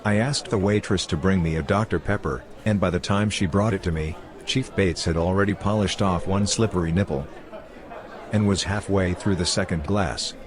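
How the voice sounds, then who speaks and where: A man's voice: low-pitched, moderate pace, a crowd in the background, close to an everyday voice. A man narrating a dramatized detective story in first person as a writer who documents a chief's cases, in a dry, wry manner.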